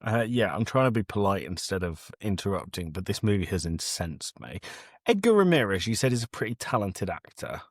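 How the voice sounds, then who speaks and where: A man's voice: low-pitched, very animated, a little fast, husky, no echo, studio quality. A man co-hosting a casual film review chat, exasperated, blunt and sweary. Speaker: podcast co-host.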